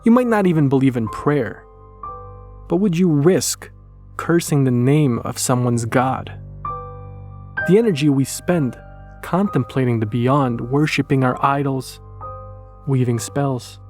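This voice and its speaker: A man giving scripted, dramatic horror narration in a measured, portentous manner. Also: medium pitch, a little mellow. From Poland. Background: music.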